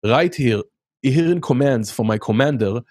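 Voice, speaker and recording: A man's voice: mid-range voice, expressive, normal speed, a little mellow. A man in his thirties from Israel with an Israeli accent, chatting as an interview guest and telling stories with many fillers and restarts. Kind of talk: casual interview chat.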